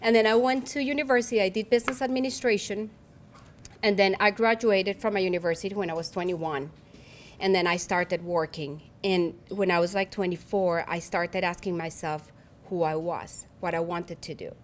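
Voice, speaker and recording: A woman's voice: lowish voice, fairly even intonation, normal speed, slight background noise. A woman in her forties with a Colombian Spanish accent, giving a personal keynote speech at a luncheon in an earnest, reflective way, often restarting her phrases. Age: 40s. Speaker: keynote speaker.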